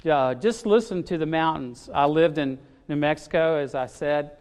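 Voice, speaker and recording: A man preaching a sermon in a loud, expressive way, often pausing with fillers. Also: a little high, smooth. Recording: long hall reverb.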